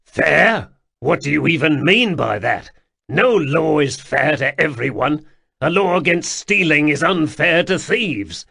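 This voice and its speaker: A man's voice: medium pitch, expressive, a little slow, gravelly, a bit bright, breathy edge, heavily acted. A man voicing a bitter, hardened warrior who speaks in a curt, scornful way.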